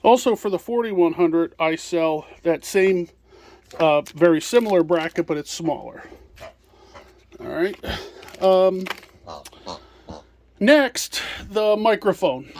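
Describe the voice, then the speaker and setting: Casting: male product presenter, notably high-pitched, informal hands-on walkthrough delivery. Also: slow, long pauses, a little mellow, slight background noise. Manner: hands-on explaining while handling items.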